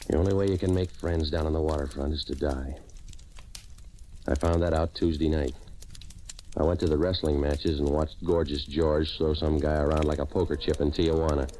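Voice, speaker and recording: An actor playing a cynical, wisecracking waterfront operator in old-time radio noir, dry and world-weary. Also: male voice, a bass voice, darkish tone, slight background noise.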